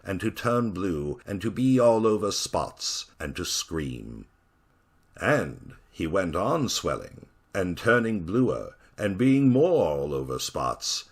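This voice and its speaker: Deep, husky male storyteller. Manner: theatrical, expressive, with dark relish. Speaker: narrator. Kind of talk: dramatic storytelling reading.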